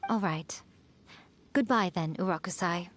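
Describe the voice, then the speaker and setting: A woman's voice: on the low side, unhurried, slight background noise, natural voice. An actress playing a centuries-old yokai woman in a game, poised, sly and smugly playful. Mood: fond, assured. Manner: poised, teasing, self-satisfied. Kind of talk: game voice acting.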